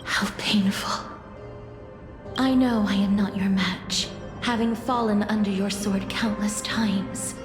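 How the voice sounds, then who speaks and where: An actress playing a gentle, sorrowful demigod of death in a game, speaking softly and formally. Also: female voice, medium pitch, steady tone, slow pace, close to an everyday voice. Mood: pleading sorrow. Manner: soft, formal, mournful. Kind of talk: dramatic game voice acting. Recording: echoing voice over music.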